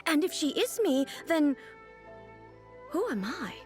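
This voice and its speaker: An actress playing a calm, formal female ruler goddess in a video game, speaking in a measured, reflective way. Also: sits high, over loud music, heavily acted. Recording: game soundtrack under voice.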